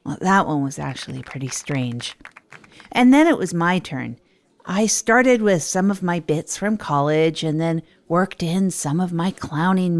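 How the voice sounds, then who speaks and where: A woman's voice: on the low side, natural voice. A woman playing a hapless amateur comedian, telling stories in a rambling, earnest, self-deprecating way for an audio drama. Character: Tog, hapless adult storyteller and failed stand-up comedian. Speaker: narrator and radio host. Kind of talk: improvised comic monologue in an audio drama.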